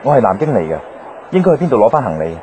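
A man acting a polite, hurried traveller asking for help in a radio drama bit part. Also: mid-range voice, sing-song, darkish tone, a little hiss or hum, lightly acted, low-quality recording. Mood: polite, inquiring.

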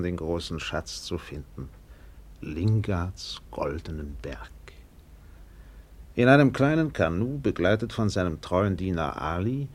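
A man reading literary scripted narration as the narrator of a radio play. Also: low voice.

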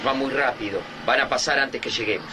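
A man's voice: mid-range voice, fairly quick, very noisy, lightly acted. An actor playing a pragmatic, resolute man leading survivors of an alien invasion in a radio play, firm and urgent.

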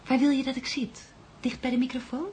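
An actress playing an affectionate, lighthearted wife in a radio play, talking in intimate, familiar domestic dialogue. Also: female voice, sits high, flat delivery, a little fast, darkish tone, a little hiss or hum, close to an everyday voice. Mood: loving.

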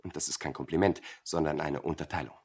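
An actor playing a pedantic, unworldly young office thinker in a German radio drama, dry, exact and over-literal. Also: male voice, extremely low pitch, fairly even intonation, quick pace, gravelly, a little mellow, husky, exaggerated voice.

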